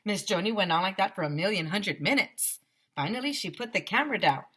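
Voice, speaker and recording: A woman reads a children's book aloud as a teacher would for a class. Her voice is bright and very lively in pitch, with an expressive storytelling delivery. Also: fairly quick, slightly rough. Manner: animated, with sound effects.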